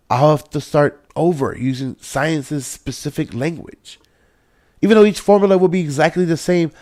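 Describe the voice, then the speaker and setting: A man playing an earnest male scientist in an audio drama, explaining things in deliberate phrases. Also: medium pitch, darkish tone, dry recording, clean studio sound, close to an everyday voice. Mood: regretful, resigned.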